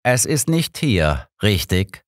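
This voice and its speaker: Man voicing a blunt old detective, pitched up. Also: big pitch swings, slow, no room sound, studio quality. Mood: cool. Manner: blunt, cool, authoritative. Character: old male detective, cool, blunt, exasperated, clipped. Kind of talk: audiobook character dialogue.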